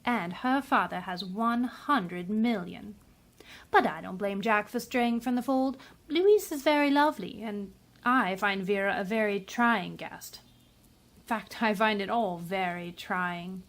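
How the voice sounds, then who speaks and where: A woman's voice: mid-range voice, measured pace, close to an everyday voice. A woman playing a breezy, bored wealthy society matron with a languid, teasing way, in a radio drama. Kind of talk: old-time radio drama acting.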